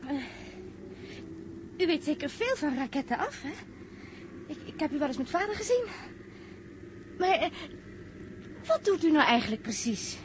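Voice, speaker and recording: An eager young woman in an old radio play from the sixties. Her voice is very high and leaps about as she gushes and exclaims. There is faint noise on the recording. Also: moderate pace, pauses often. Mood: curious. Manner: gushing, exclaiming, stammers with excitement. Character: eager, chatty young woman fascinated by rockets. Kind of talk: 1960s Dutch radio drama acting.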